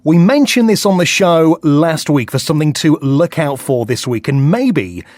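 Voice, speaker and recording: Give the voice of a man presenting a breakfast radio show. He speaks in a slightly high register with swooping, lively intonation, putting questions to guests in a broadcast interview manner. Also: normal speed, dry recording, clean studio sound.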